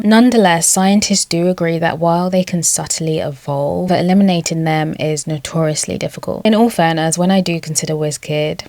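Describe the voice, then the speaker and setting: Woman, video essayist, low gravelly voice, measured delivery, dry close recording. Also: darkish tone, no room sound. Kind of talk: scripted commentary essay.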